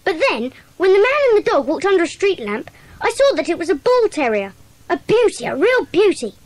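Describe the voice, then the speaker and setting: A woman's voice: very high voice, big pitch swings, brisk, no echo. A woman playing an eager, earnest schoolboy sleuth who gives detailed reports in a children's audio drama. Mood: earnest, puzzled.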